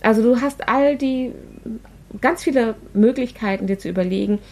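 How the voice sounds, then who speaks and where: A woman's voice: fairly high pitch, normal speed. A woman, a podcast guest chatting casually and telling stories with frequent laughter. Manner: chatty, laughs often, lively storytelling. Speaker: podcast guest, actress and party planner.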